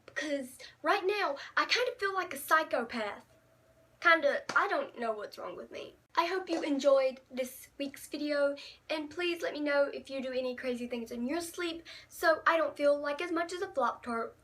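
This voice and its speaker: A teenage girl with a high, bright voice tells stories about herself straight to the camera. She is chatty and dramatic and makes fun of herself as she goes. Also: moderate pace. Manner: chatty, dramatic, giggly, self-mocking. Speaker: vlogger. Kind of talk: casual storytime vlog.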